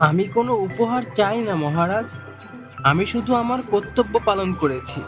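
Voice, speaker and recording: A high-pitched young cowherd lad, played by a man in a fairy-tale cartoon. He sounds humble, brave and earnest, over clear background music. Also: expressive, moderate pace, highs cut off.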